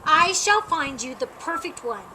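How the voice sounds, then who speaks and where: A woman's voice: high voice, big pitch swings, unhurried, background noise. A woman voicing a small, cheerful male mouse from a storybook, eager, polite and reassuring. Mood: gentle reminding. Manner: reassuring, polite, gently hushing.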